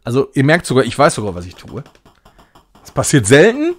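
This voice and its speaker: A man's voice: mid-range voice, huge pitch swings, brisk. A man giving casual live game commentary as a streamer, thinking aloud in short muttered phrases. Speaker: streamer playing a retro puzzle game.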